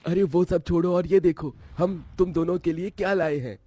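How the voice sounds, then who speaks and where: A man's voice: sits high, moderate pace, mellow, no room sound. A man voicing a self-sacrificing, protective young brother from a poor family in a cartoon story, earnest and emotional. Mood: tender.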